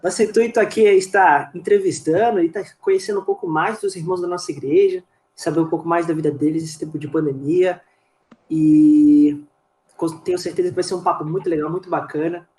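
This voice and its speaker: In a roomy, echoing space, a Brazilian man hosts a podcast. His voice is slightly high, and he talks in a casual, chatty way, often repeating his phrases. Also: steady tone, a little fast, a little mellow. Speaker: podcast host.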